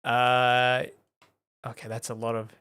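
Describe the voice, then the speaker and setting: A man's voice: mid-range voice, monotone, slow pace, bright, forceful tone, smooth. A chatty, amused man giving casual commentary as he plays a game. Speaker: gaming streamer.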